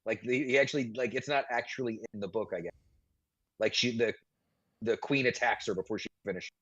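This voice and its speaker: Male podcast co-host, conversational and informal, medium-pitched, slightly muffled lo-fi microphone with no room sound. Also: fairly even intonation, fairly quick, a bit bright, dry recording. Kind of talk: casual chat.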